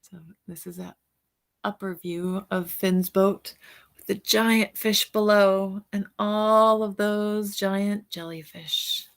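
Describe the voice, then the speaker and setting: Female read-aloud storyteller, mid-range pitch, unhurried pace, teacherly asides to young listeners. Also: fairly even intonation. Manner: unhurried, drawn-out words, points things out to young listeners. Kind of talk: picture book read-aloud with asides on the pictures.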